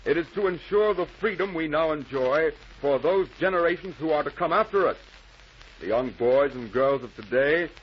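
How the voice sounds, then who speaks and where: In dull, slightly noisy vintage radio sound, an American man speaks like a formal orator. His voice is a touch high, and his scripted delivery is earnest. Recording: muffled, noisy old radio recording.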